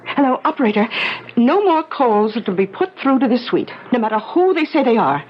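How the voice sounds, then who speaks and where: An actress playing an attentive, soothing hotel maid with an earnest, deferential manner in a 1970s radio drama. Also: female voice, on the high side, big pitch swings, fairly quick. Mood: concerned, firm. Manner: earnest, deferential, anxious to reassure. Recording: dull, hissy 1970s radio broadcast.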